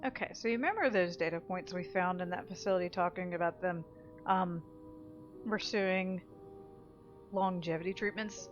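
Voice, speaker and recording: A woman's voice: on the low side, moderate pace, dull audio. A woman giving casual, wry commentary as she plays a video game. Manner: wry, offhand. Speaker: gameplay commentator. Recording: game music behind the voice. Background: game music.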